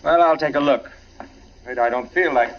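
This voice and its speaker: An actor playing a polite suburban husband with private suspicions, earnest and hesitant in 1940s radio drama style. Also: male voice, a little high, brisk, lightly acted. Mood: uneasy, probing. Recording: muffled, hissy 1940s radio recording.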